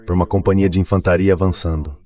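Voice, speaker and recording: A man's voice: low-pitched, fairly even intonation, racing, mellow. A man voicing a dubbed translation of an interview guest, explaining things in a conversational, anecdotal way.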